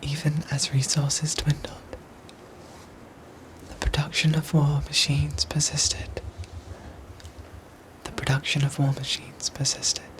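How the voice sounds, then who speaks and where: A woman playing a protective android soldier in a whispered ASMR roleplay, speaking in soft, breathy, formal and precise phrases. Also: low-pitched, level tone, slow pace, long pauses, rough recording. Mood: grave, gentle.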